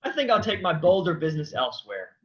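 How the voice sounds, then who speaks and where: A man's voice: high voice, very animated, fast talker, a bit bright. A man playing an earnest, argumentative man who wants to die, in a staged reading with dull sound. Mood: righteous. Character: earnest, argumentative, despairing man set on dying.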